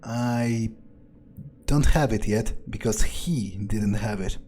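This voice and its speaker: A man roleplaying a detached, pragmatic male vampire in a game playthrough, musing aloud with hesitant pauses. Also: on the low side, mellow. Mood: intrigued, detached. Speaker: player roleplaying a character. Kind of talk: in-character game playthrough narration.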